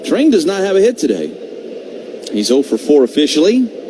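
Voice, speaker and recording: A man's voice: on the high side, wildly swooping pitch, fairly quick. A man doing live radio baseball play-by-play in a wry, conversational way. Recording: noisy stadium broadcast feed.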